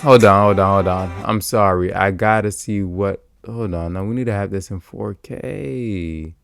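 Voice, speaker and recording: A man's voice: low-pitched, a little slow, vocal fry. A man giving a casual, slangy, exclamatory live reaction as he streams game trailers. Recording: music behind the voice. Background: game trailer music.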